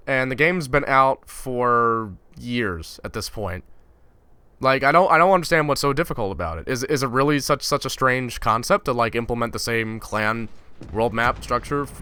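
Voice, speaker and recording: A man's voice: mid-range voice, sharp tone, clean tone, no room sound. A young man from Finland, a gaming YouTuber, ranting in a casual, exasperated and sarcastic vlog.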